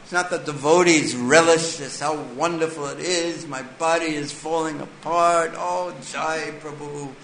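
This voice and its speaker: Male scripture teacher, slightly high voice, very slow and deliberate delivery, echoey room. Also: fairly even intonation, vocal fry, fairly bright tone, a little hiss or hum. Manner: slow, deliberate, reads text then explains. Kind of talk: scripture lecture.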